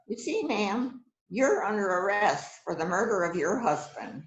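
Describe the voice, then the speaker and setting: A woman playing a shrewd, self-assured police detective in an amateur murder mystery reading, deliberate and musing. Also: medium pitch, very animated, faint music behind. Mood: confident. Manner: deliberate, musing with frequent hmms. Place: indoors on a virtual event call. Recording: roomy echoing video call sound.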